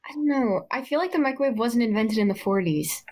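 High-voiced young woman chatting. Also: lively intonation, brisk, a crowd in the background. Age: young adult. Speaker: student director and guest. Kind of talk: casual chat. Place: indoor room at home, video call. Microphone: video call.

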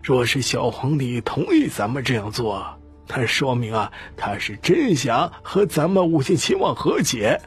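A man voicing a shrewd old patriarch in an audiobook, calm and scheming, with deliberate, weighty delivery. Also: mid-range voice, husky, soft background music. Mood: sly, confident.

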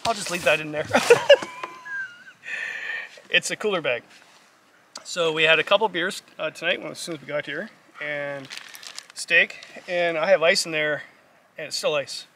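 Middle-aged man, gravelly and somewhat high, casual self-mocking camp vlogger, outdoor camera mic. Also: normal speed, long pauses, sharp tone, distant mic, a little hiss or hum. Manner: chatty, self-mocking, stumbles over words. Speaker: vlogger on a canoe trip. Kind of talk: casual camping vlog chat. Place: lakeside campsite outdoors.

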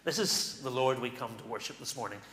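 A middle-aged man, speaking as a minister at a lectern in a large church, giving announcements and a call to worship with pauses and fillers. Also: medium pitch, slight background noise. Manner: warm pulpit delivery with pauses and fillers. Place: large church sanctuary, indoors. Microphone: stand microphone at a lectern. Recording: echoey church hall from a distance.

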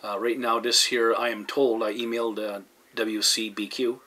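A man's voice: lowish voice, little pitch movement, normal speed, bright, forceful tone. A man from Canada giving a casual, rambling demonstration in a hesitant manner, as a hobbyist vlogger. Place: indoors at a radio receiver. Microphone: handheld camera microphone. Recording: faint noise behind handheld camera.

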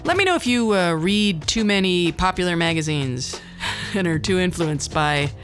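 A woman's voice: on the low side, expressive, moderate pace. Woman in her 50s, podcast host talking about her own life, wry and chatty, bit of music in there. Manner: candid, self-deprecating, wry. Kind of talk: personal monologue storytelling, conversational.

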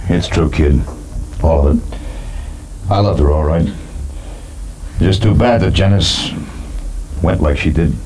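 Weary lovelorn gangster, super deep voice, old radio noir, bit noisy. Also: male voice, unhurried, long pauses, a little mellow. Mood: sorrowful, resigned. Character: weary, lovelorn male gangster, quietly sorrowful. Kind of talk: 1950s radio noir acting. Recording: noisy 1950s radio recording.

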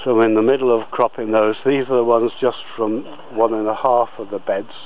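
A man giving a slow, halting outdoor tour of his allotment plot, with many pauses and fillers. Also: on the low side, little pitch movement, narrow, tinny audio, slight background noise. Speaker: allotment gardener.

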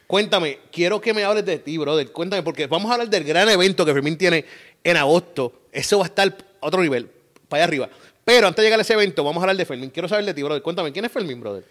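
Middle-aged Puerto Rican man, quick and playful podcast host, a touch high, tired. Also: expressive. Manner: playful, joking, shouty bursts. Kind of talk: casual podcast interview. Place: purple-lit indoor studio set.

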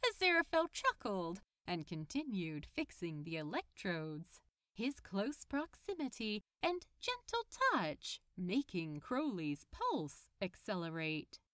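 A woman narrates fiction in an expressive audiobook style. Her voice is bright and lively in pitch, with an unhurried pace. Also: no room sound, clean studio sound.

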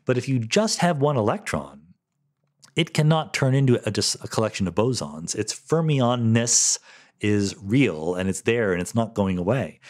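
A middle-aged man talks through listener questions on his podcast, thinking aloud and often correcting himself. His voice sits low, but it rises and falls a lot as he explains. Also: very animated, normal speed. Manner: thoughtful, self-correcting, lightly laughing. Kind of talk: casual solo Q&A explanation.

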